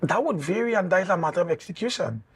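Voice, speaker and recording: Husky man, halting and jokey. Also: sits high, lively intonation. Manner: halting, stammering starts, jokey. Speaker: guest. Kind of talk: morning TV studio chat.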